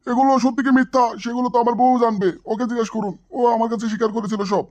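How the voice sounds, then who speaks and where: Man playing jealous old village husband, high voice, extremely quick talker, nagging, blustering, comic drama. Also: steady tone. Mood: complaining. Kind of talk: exaggerated Bengali comic village drama acting.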